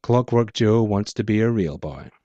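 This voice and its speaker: Man playing a low-voiced, wary noir hustler. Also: animated, moderate pace, mellow, no room sound, dull audio, natural voice. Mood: guarded. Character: cornered male noir hustler, outwardly cool, inwardly rattled. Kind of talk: dramatic audiobook dialogue, noir pulp.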